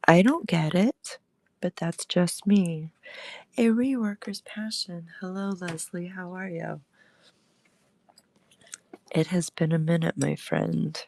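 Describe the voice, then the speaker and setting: Slow, gravelly-voiced woman crafter. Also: lowish voice, a little mellow. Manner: chatty, greets viewers while demonstrating. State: recovering from illness, gravelly throat. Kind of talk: casual live crafting stream. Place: home crafting space.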